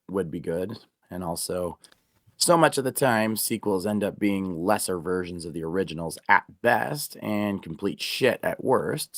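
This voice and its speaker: A man with a very deep voice, co-hosting a casual podcast while sick with a cold, so he sounds froggy. He talks in a dry, low-key way, recorded close with no room sound. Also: no room sound. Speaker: podcast co-host. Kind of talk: casual chat.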